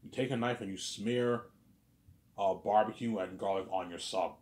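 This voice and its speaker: A man's voice: lowish voice, normal speed, darkish tone. Rambling man in his thirties, echoey room. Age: 30s. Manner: rambling, repetitive, stumbles over words, filler-heavy. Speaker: vlogger. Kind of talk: casual rambling monologue.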